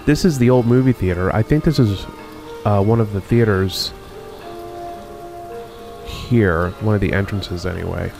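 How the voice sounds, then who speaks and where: A man casually narrating a walkthrough of a rundown shopping mall, musing and unhurried with many pauses and fillers. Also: on the low side, creaky, music in the background. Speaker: video host touring a closed-down mall.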